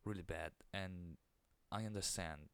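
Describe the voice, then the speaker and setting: A man with an Italian accent hosting a podcast alone, keeping his voice low and soothing, with an occasional stutter into the microphone. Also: unhurried, clear, resonant tone, dry recording. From Italy. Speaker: podcast host. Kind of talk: casual solo monologue.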